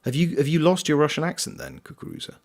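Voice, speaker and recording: A man's voice: on the high side, quick pace, vocal fry, a little mellow. A British man streaming a game, chatting casually and reading viewers' comments aloud, greeting them by name. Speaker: streamer and voice actor.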